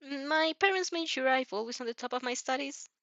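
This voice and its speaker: A woman's voice: sits high, brisk, sharp tone, dry recording, pitched above the performer's own voice. Woman playing a chirpy, cheeky young vampire girl. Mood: matter-of-fact. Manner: chirpy, casual, self-assured.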